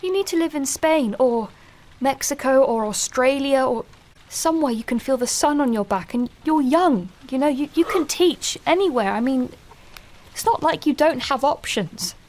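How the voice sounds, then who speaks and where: An actress playing a young woman ending her engagement, halting and apologetic in a radio drama. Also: high voice, lively intonation. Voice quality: halting, soft-spoken, full of restarts. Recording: faint rain noise behind. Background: rain.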